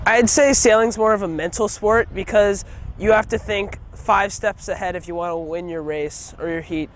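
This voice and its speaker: A man's voice: high voice, steady tone, normal speed, slight background noise. A teenage boy, a student sailor, answering interview questions earnestly outdoors at a school. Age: teens.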